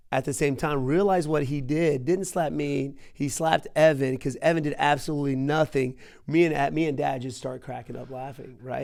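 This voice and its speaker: A man hosting a podcast, telling stories in casual chat with an animated manner and repeating himself for emphasis. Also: medium pitch, vocal fry. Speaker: podcast host.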